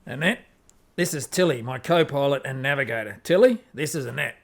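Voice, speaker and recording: A man's voice: medium pitch, lively intonation, fairly quick, vocal fry, fairly bright tone. Laid-back space rogue, played by a man. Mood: friendly. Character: easygoing, hard-drinking male spaceship rogue. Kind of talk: amateur audio drama acting.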